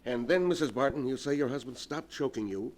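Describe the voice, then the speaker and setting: An actor playing a grave, resolute doctor in an earnest, theatrical 1940s radio melodrama style. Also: male voice, mid-range voice, fairly quick, darkish tone, faint music behind, natural voice. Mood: grave, urgent. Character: grave, resolute male doctor plotting against evil spirit. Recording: vintage 1940s radio recording.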